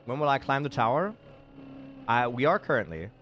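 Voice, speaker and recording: A man's voice: mid-range voice, big pitch swings, normal speed, a bit bright. Man casting a racing game live. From Denmark. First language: Danish. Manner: self-deprecating, chatty, reacts to chat. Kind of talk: live game commentary with chat.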